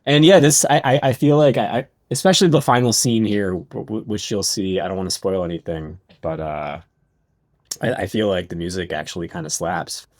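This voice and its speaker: Low-voiced man chatting, halting delivery. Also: a little mellow, dry recording, studio quality. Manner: halting, self-interrupting. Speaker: composer and podcast co-host. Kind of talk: casual reminiscing chat.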